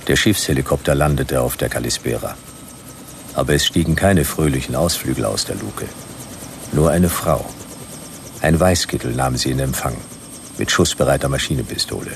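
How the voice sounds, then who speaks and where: Gravelly, very deep laconic detective. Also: male voice, moderate pace, a little hiss or hum. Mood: dry, suspenseful. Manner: dry, clipped, terse narration. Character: laconic hardboiled male private detective, aged 96, narrating. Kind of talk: radio play noir narration.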